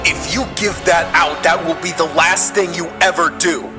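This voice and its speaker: A ruthless government director, a commanding middle-aged woman, as a man plays her. The delivery is curt, authoritative and threatening, in comic-book style over clear music. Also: on the high side, fairly even intonation, normal speed, voice pushed up, close to an everyday voice. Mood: menacing. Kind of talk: motion comic voice acting.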